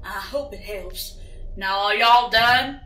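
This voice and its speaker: A woman's voice: mid-range voice, slow, sharp tone. A woman voicing a guarded, distressed female farm pony. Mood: cold, impatient. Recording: roomy, hissy home microphone.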